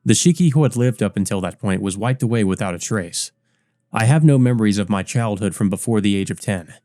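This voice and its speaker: A man voicing an earnest, resolute teenage boy from a visual novel, measured and determined. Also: lowish voice, darkish tone, no echo, clean studio sound, close to an everyday voice. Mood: brooding. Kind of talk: visual novel character reading.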